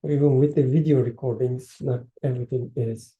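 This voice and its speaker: A man talking through ideas in a casual study-circle discussion, thoughtful and hesitant, often pausing to restart a phrase. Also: mid-range voice, fairly even intonation, roomy sound, narrow, tinny audio. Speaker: discussion participant, researcher.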